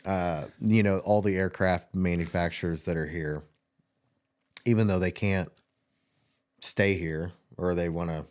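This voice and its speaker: A man's voice: deep voice, fairly even intonation, measured pace, long pauses, darkish tone. A man from Kansas hosting a trades podcast, chatting in a loose, rambling way with jokey asides. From Kansas, USA. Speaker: podcast host. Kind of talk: casual interview chat.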